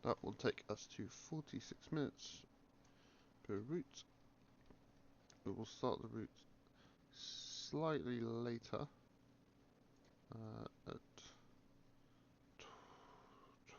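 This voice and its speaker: A man with a croaky voice from a cough, calmly commentating a game and thinking aloud with many pauses. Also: medium pitch, muffled recording, slight background noise. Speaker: gaming video creator. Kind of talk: relaxed gameplay commentary.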